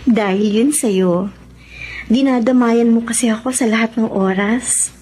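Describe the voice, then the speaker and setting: A woman's voice: on the high side, unhurried, mellow, slight background noise, lightly acted. An actress playing a remorseful, devout young woman in an emotional Tagalog radio drama, with a Filipino accent. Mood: tender, remorseful.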